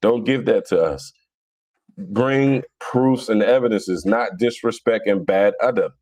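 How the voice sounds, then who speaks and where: A man with a Philadelphia accent and a fairly low voice, recorded dry and close. He talks off the cuff as a livestream host, in a blunt, slangy, mocking way. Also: measured pace, darkish tone, no room sound. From Philadelphia, United States. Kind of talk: casual live religious debate, answering viewer comments.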